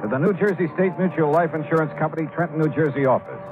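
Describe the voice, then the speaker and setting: An actor playing a breezy, wisecracking insurance investigator with an American accent, in the style of 1950s radio detective drama. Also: male voice, on the high side, fast, music in the background, natural voice. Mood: brisk, businesslike. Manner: wry, breezy, punchy. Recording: tinny, narrow-band 1950s radio recording.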